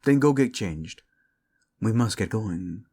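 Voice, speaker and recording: Man acting a husky, devoted low-voiced prince. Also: lively intonation, slow pace, mellow, full character voice. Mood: attentive, fond. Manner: intimate, tender, formal phrasing with Spanish endearments. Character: devoted, protective young prince, tender lover. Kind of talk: romantic audio roleplay acting.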